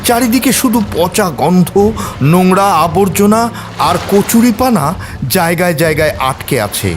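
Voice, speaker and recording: A man's voice: high voice, lively intonation, normal speed. Guy telling a dramatic story, voice swoops up and down loads, suspenseful, music under it. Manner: theatrical, suspenseful delivery. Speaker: narrator. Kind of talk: dramatic scripted story narration.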